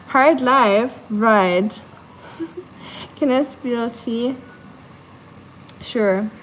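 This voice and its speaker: A woman's voice: mid-range voice, a little hiss or hum, rough recording. A young woman live streaming from her kitchen, half asleep, chatting casually with lots of fillers and reading her chat aloud. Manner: chatty, many fillers, sleepy and relaxed. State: sleepy. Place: home kitchen.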